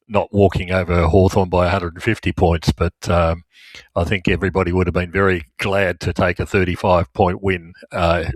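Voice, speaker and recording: A man's voice: low voice, no echo, rough recording. A man with an Australian accent hosting a casual sports podcast. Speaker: podcast host.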